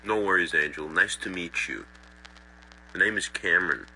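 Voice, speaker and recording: A man playing a laid-back, friendly smoker in an indie animated horror, speaking in brief, relaxed phrases. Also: deep voice, unhurried, full character voice, poor audio. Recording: faint hum and hiss.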